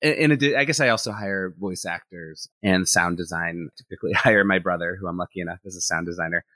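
A man speaks in a low, bright voice in a dry, close recording. He is talkative and thinks out loud, leaning on "like" as he explains his work as an animator and director in a relaxed interview. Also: quick pace, no room sound. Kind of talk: casual interview conversation.

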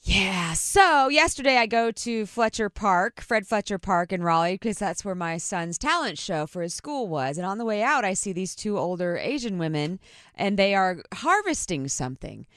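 A woman co-hosting a morning radio show, telling a self-mocking story with animated delivery. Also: mid-range voice, normal speed, dry recording, studio quality. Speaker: radio co-host. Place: radio studio.

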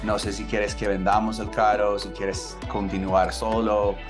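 A man from the United States speaking Spanish with an American accent, telling his life story in a halting way with many restarts. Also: on the low side, level tone. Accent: American English. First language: English. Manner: halting, restarts words, chuckles at himself. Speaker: entrepreneur interviewee. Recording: guitar music underneath.

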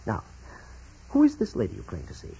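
Quick, brusque police commissioner. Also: male voice, fairly low pitch, huge pitch swings, vocal fry, mellow, full character voice. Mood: menacing, officious. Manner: clipped, interrogating. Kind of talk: 1940s radio acting. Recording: dull, noisy vintage radio.

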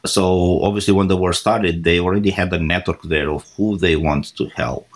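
A man from Romania in his forties speaks as an interview guest. His voice sits very low, and he lays out his reasons in a measured, deliberate way. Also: fairly even intonation. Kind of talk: interview answers.

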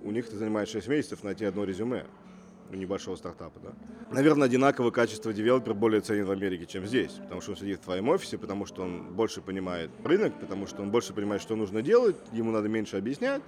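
Fast-talking assertive man. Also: lowish voice, animated, darkish tone. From Russia. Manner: assertive, fond of rhetorical questions. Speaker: entrepreneur guest. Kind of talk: casual interview talk.

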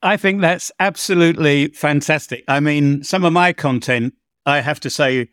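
A man's voice: on the high side, moderate pace, a bit bright, smooth, no echo, clean studio sound. A man from England hosting a podcast interview, asking long rambling questions and repeating words as he frames them. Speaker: podcast host. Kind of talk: conversational interview.

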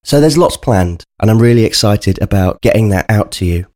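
A man's voice: lowish voice, animated, moderate pace, dark tone, no echo, clean studio sound. A man with a cold, sounding bunged up, chatting earnestly and directly to listeners as a podcast host. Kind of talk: casual spoken podcast introduction.

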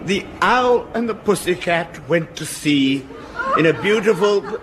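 An actor playing a gentle, dithering elderly English Home Guard private in a British radio sitcom, polite and hesitant. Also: male voice, high voice, lively intonation, normal speed. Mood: flustered. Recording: very noisy old radio comedy recording.

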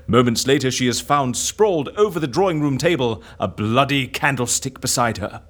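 An actor playing an excitable, scheming man in a comedy audio drama, with a theatrical, musing and breezily unconcerned delivery. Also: mid-range voice, normal speed, lightly acted. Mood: excited, commanding. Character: excitable scheming man running a death-faking agency.

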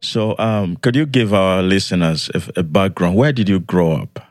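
A man's voice: low voice, normal speed, dry recording, studio quality, faint music behind. A man hosting a podcast interview, who introduces the guest and asks easygoing questions. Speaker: podcast host.

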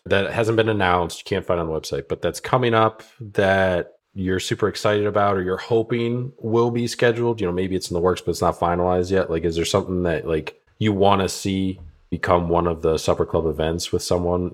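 A man's voice: sits low, fairly quick. A man hosting a podcast, asking long, rambling questions full of "you know"s in a casual way. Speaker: podcast host.

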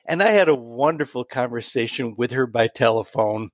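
A man in his late sixties telling a personal story in an interview, pausing and restarting often. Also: mid-range voice, no echo, clean studio sound. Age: 60s. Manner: warm, halting anecdotal storytelling with many restarts. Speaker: guest.

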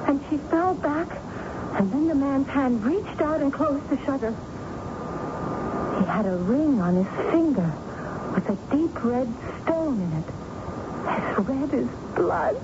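An actress playing an earnest, insistent young daughter haunted by a murder in a radio mystery drama. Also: female voice, high-pitched, expressive, slow pace, pauses often. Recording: noisy, dull old radio broadcast tape.

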